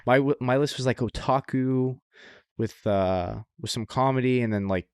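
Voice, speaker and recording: A man's voice: medium pitch, normal speed, darkish tone, clear, resonant tone, dry recording, clean studio sound. A man co-hosting a podcast in casual chat, often repeating his phrases and swearing casually. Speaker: podcast co-host.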